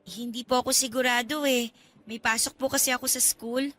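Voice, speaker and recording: A woman's voice: on the high side, fairly even intonation, brisk, pushed and bright. An actress playing a polite, guarded young female college student in a radio drama, speaking with hesitant reserve. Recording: music under the voice.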